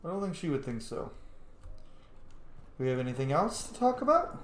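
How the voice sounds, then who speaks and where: Male game streamer, casual and chatty, very lively pitch, echoey room with faint noise. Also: moderate pace. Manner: chatty, reacts aloud to the game. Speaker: gaming streamer.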